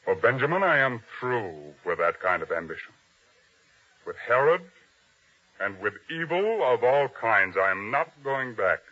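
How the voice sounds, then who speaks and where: An actor playing a grave, resolute officer of a biblical king in a 1950s radio drama, speaking solemnly and deliberately. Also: medium pitch, big pitch swings, sharp tone, exaggerated voice. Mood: solemn, reflective. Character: repentant Roman-era officer of King Herod, grave and resolute. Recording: dull old radio broadcast recording.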